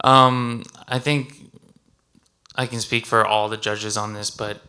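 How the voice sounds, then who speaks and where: A man's voice: mid-range voice, creaky, bright, forceful tone. A man speaking informally as a contest judge at a live event, picking his words in a hesitant, thoughtful way.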